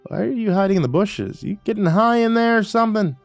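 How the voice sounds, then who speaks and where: A man's voice: high-pitched, animated, fast talker, no echo, faint music behind, voice pushed up. A man voicing a suspicious, sardonic cab driver in a narrated story. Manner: muttering, dry.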